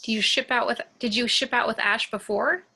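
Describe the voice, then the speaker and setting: A woman's voice: fairly high pitch, fairly even intonation, a little fast, gravelly, sharp tone. A woman reading a wary, challenging spaceship officer in an amateur table read, direct and questioning.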